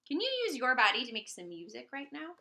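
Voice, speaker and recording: A woman's voice: a little high, very animated, fast, creaky, sharp tone, a little hiss or hum. A woman teacher reading a picture book aloud to young children, expressively drawing out words and prompting them with questions. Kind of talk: interactive children's storybook read-aloud.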